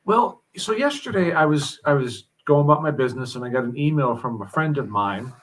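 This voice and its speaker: A man who is a guest on a talk show, explaining things thoughtfully with many pauses and fillers. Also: a little high, animated, low-quality recording. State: mild headache. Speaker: guest commentator. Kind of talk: casual discussion.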